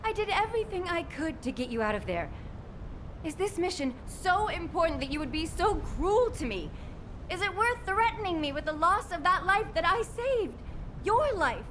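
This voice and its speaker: High-voiced, earnest woman scientist, played by an actress. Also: normal speed, slight background noise, natural voice. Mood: hurt, pleading. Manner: earnest, emotionally expressive. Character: anguished, caring woman scientist, protective and reproachful. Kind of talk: dramatic video game voice acting.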